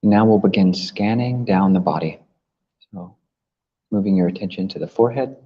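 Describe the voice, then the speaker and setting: A man leading a guided meditation as a teacher, gently instructing with long pauses between phrases. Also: lowish voice, husky. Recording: muffled, long reverberant hall echo.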